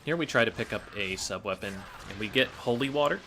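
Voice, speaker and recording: A man's voice: medium pitch, animated, a little fast, pushed and bright, a little hiss or hum. A man giving casual, analytical gameplay commentary as a speedrunner, explaining his choices.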